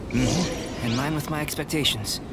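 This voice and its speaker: A man voicing a calm, precise young alchemist. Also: on the high side, moderate pace, very noisy, close to an everyday voice. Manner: calm, precise, formal phrasing. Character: calm young male alchemist, measured and curious. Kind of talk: game voice acting. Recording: game cutscene with music behind.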